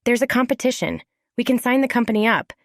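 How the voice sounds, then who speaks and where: Teenage girl worker, earnest and eager, higher pitch, audio drama, voiced by an adult woman. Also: expressive, fast talker, slight background noise. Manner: eager, direct.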